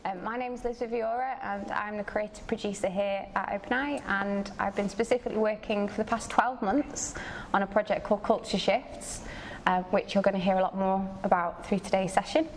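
A woman's voice: medium pitch, steady tone, moderate pace. A woman who is losing her voice, giving an informal welcome talk as a gallery producer to a seated audience. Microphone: distant camera microphone. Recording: noisy distant room recording.

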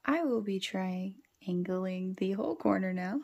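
A woman with a soft, breathy voice, murmuring and thinking aloud through a casual craft demonstration. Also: medium pitch, animated, unhurried, highs cut off. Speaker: journaling vlogger.

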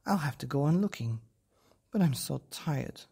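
Cast: earnest little girl, as a man puts her on, raised and husky. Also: on the high side, expressive, normal speed, mellow, voice pushed up, full character voice.

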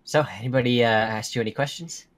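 A man's voice: medium pitch, fast talker, soft background music, natural voice. A man playing an earnest, guilt-ridden young werewolf student, talking in a hesitant, conversational way in a game roleplay. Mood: uneasy, regretful. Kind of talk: casual scripted Minecraft roleplay.

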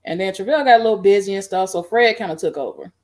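A middle-aged woman on a video call, recounting her band history in a relaxed, rambling way with many fillers. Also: medium pitch, fast talker. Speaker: guest band musician. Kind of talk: casual interview. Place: home room.

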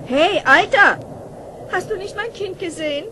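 Earnest, high-voiced mother. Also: expressive, measured pace, sharp tone, full character voice. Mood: agitated. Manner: pleading, emphatic. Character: anxious, earnest mother from the town. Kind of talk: German fairy-tale radio play. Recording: noisy old audio-play recording.

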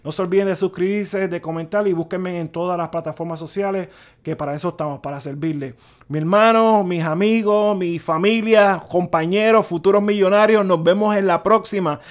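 A man's voice: high-pitched, fairly even intonation, normal speed. A middle-aged man hosting a personal finance channel, talking casually and stammering, with English words mixed in. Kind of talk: casual explanatory talk over a spreadsheet. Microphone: voice-over on a screen recording.

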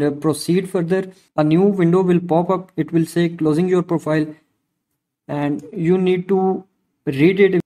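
Bright man explaining step by step. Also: a little high. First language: Urdu. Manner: hesitant, frequent "uh" fillers, step-by-step explaining. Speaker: YouTube tutorial creator. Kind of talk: screen-recorded tutorial voice-over.

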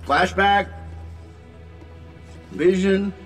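An actor playing a cocky, flippant genius inventor superhero who teases sarcastically in a video game cutscene. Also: male voice, high-pitched, fairly even intonation, extremely slow, a bit bright, big-room sound, faint music behind, heavily acted. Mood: casual, teasing.

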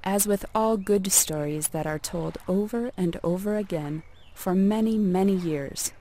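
A woman narrating a folk tale as a storyteller, in a measured and expressive storybook delivery. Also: mid-range voice, slight background noise.

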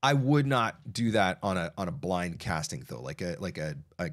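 A man's voice: low-pitched, big pitch swings, normal speed. A man in his thirties hosting a live voiceover advice stream, answering chat questions in a conversational way with fillers and restarts, close to a studio mic in a small home studio. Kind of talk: casual advice livestream. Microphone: broadcast mic on arm, close.

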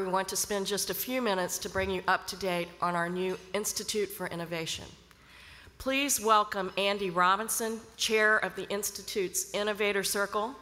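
Middle-aged woman, bright forceful voice, formal conference host at a podium in a reverberant hall. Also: mid-range voice. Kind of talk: formal welcome and introductions. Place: large hotel ballroom, on stage. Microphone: podium microphone.

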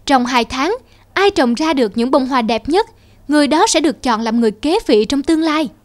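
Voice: high-pitched, lively intonation, fairly quick. An actor voicing a weary, aging, childless king in a cartoon fairy tale, solemn and stately. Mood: resolved, stately.

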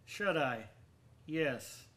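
An actor playing an obsessed, brooding young madman with murderous intent, narrating a gothic radio drama in a deliberate, theatrical way. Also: on the high side, animated, fairly bright tone, exaggerated voice. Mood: guarded.

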